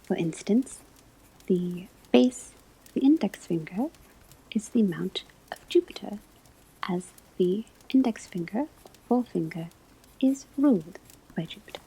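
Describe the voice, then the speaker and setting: Woman doing asmr class roleplay, breathy husky whisper, soft mellow tone, slow careful teacher phrasing. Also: mid-range voice, expressive, low-quality recording. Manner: slow, deliberate, measured teacherly phrasing with pauses. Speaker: ASMR performer playing a divination class teacher.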